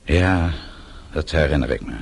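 An actor playing a weary, defiant older father in a radio play, husky, curt and restrained. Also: very deep voice, normal speed, full character voice. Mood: weary defiance.

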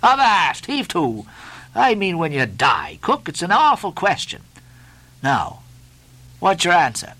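A bossy, mocking ship's officer, played by a male narrator, pitched high and swinging widely in tone. He badgers with theatrical relish, bright and forceful, firing off nautical orders and mock-solemn questions as in an audiobook sea tale. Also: wildly swooping pitch, takes it slowly, pauses often, full character voice.

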